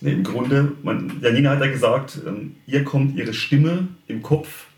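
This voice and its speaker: A man's voice: mid-range voice, measured pace, darkish tone. A man chatting casually as a podcast guest, with hesitant phrasing and dry humour. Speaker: podcast guest, singing student. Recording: echoey room with noticeable background noise.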